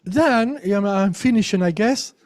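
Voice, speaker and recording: A man's voice: high-pitched, unhurried, a little mellow. A man giving an academic conference talk, restarting phrases often and checking in with tag questions. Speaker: conference presenter. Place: lecture room.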